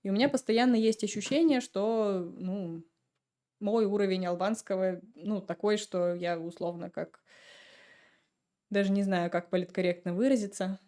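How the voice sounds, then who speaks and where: A woman guest on a podcast, telling stories in a relaxed, chatty way and laughing often. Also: medium pitch, normal speed. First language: Russian. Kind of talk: casual chat.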